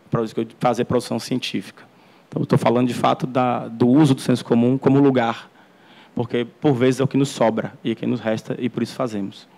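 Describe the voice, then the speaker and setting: A man's voice: medium pitch, darkish tone. A man giving an academic lecture in an auditorium, earnest, often restarting and repeating words. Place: university auditorium.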